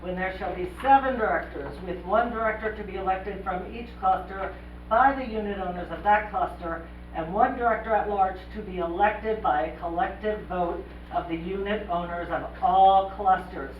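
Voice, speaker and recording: A woman board member with a loud voice, blunt and indignant, recorded from far off in an echoey room. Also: mid-range voice, moderate pace, lo-fi sound, slight background noise. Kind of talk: heated meeting discussion and reading aloud. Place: community meeting room.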